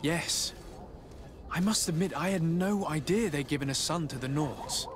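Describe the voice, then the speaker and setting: A man's voice: on the high side, measured pace, slight background noise, natural voice. A man playing a composed, courteous noble diplomat in a video game, speaking politely and with discretion. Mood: sympathetic, thoughtful. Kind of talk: video game cutscene acting.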